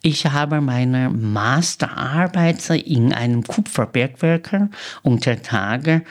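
A man in his sixties from China with a Chinese accent, a native Chinese speaker, haltingly recounting his life as an interview guest and searching for words. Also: mid-range voice, expressive. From Sichuan, China. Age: 60s.

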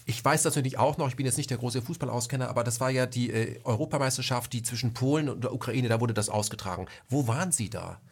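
Male host, brisk delivery, steady questions, frequent mhms. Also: medium pitch, husky. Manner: fast, with frequent "mhm" backchannels. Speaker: interview host.

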